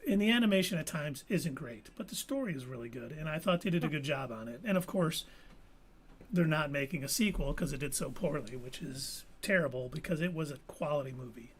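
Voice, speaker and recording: A man co-hosting a live podcast, giving firm, animated opinions in casual chat. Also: on the high side, brisk, slight background noise. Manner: opinionated, animated movie talk. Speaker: podcast co-host.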